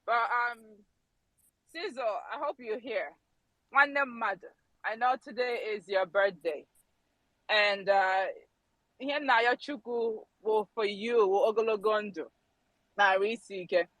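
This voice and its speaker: A woman's voice: medium pitch, measured pace, pauses often, fairly bright tone, dry recording, low-quality recording. A Nigerian woman chatting playfully in an audio chat room, greeting people and offering blessings, mixing English and Igbo. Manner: playful, laughing, blessing-giving. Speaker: participant in an audio space. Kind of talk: casual chat, switching into Igbo.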